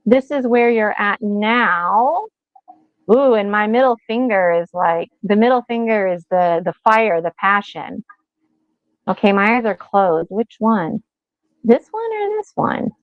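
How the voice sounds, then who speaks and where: A woman's voice: mid-range voice, animated, measured pace, no room sound, narrow, tinny audio. A woman in her forties giving a casual oracle card reading, chatty and rambling, laughing often and acting out little voices. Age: 40s.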